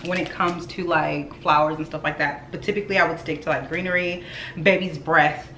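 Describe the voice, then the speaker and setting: A woman's voice: low-pitched, steady tone, a little fast, pushed and bright, a little hiss or hum. A young woman vlogging at home, chatting casually to viewers as she cleans and arranges flowers. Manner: chatty, addresses viewers as y'all. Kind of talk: casual vlog chat. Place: at home, echoey rooms. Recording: echoey home room, faint ambience.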